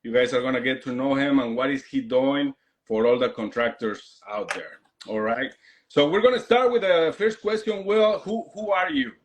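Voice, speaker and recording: Halting male live-stream interviewer. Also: medium pitch, noisy background. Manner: halting, repeats words, addresses viewers. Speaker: host.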